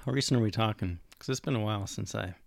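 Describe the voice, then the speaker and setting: A man's voice: on the low side, quick pace, gravelly, no echo. A man chatting casually and jokingly as a guest on a movie podcast, with a laid-back manner. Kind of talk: casual chat.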